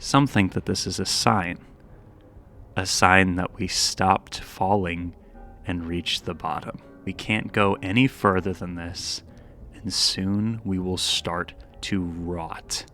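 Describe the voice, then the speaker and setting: A man's voice: low voice, fairly even intonation, slow pace, pushed and bright, soft background music. A man giving video essay commentary, musing and dramatic, shifting between scripted narration and casual, wry asides.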